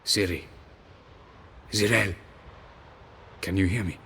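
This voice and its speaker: Deep, forceful, grave male protector. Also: fairly even intonation, takes it slowly, gravelly, a little hiss or hum, heavily acted. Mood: urgent, solemn. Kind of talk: dramatic video game acting.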